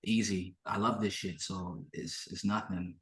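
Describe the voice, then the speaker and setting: A man's voice: low-pitched, flat delivery, measured pace, roomy sound. A man whose native language is Yoruba, a musician giving a casual interview in informal, slangy speech, often repeating himself.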